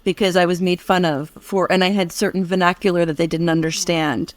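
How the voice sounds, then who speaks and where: An American woman co-hosting a podcast, reflecting at length in a warm, affirming conversational way with many restarts. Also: lowish voice, steady tone, fairly quick. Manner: reflective, affirming, speaks in long winding sentences with restarts. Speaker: podcast co-host.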